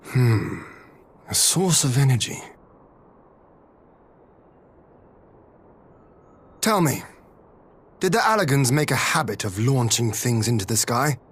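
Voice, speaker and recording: An actor playing a shrewd, theatrical strategist in a video game cutscene, speaking in deliberate, formal phrases with a husky voice. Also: male voice, mid-range voice, lively intonation. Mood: musing. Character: shrewd, theatrical male strategist, musing and confident.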